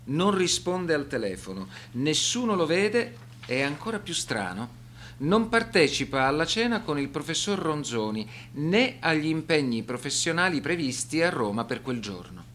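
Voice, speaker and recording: A man reading scripted radio documentary narration in a measured, serious, formal manner. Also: a little high, very animated, a bit bright, slight background noise.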